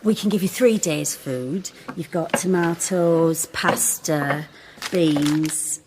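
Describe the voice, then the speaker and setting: An actress playing a kindly food bank volunteer in a naturalistic radio drama, gentle, motherly and sympathetic. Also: low-pitched, takes it slowly, noisy background. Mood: kindly, concerned.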